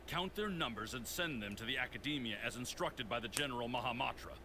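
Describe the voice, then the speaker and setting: A formal soldier, voiced by a man reports in a level, mid-pitched voice. The game audio sits quiet and low behind clear ambient noise. He speaks in a businesslike, orderly way. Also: expressive, fast talker, natural voice. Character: dutiful male soldier officer, formal.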